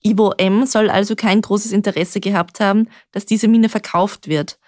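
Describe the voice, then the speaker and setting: A woman reporter hosting a true-crime podcast, explaining the case in a measured way. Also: mid-range voice, fairly even intonation, darkish tone, no echo, studio quality. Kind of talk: scripted true-crime narration.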